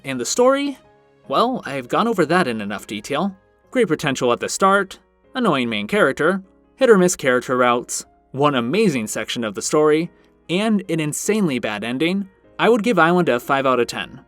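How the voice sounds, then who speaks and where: Man narrating a review, lively intonation. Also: medium pitch. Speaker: video reviewer, channel host. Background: background music.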